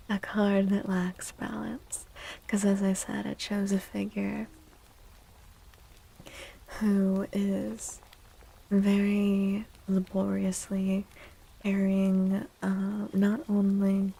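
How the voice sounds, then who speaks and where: A soft-spoken woman with a breathy, creaky voice hosts an ASMR livestream. Her delivery is slow, gentle and soothing, often sinking close to a whisper. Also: mid-range voice, poor audio. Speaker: livestream host and tarot reader.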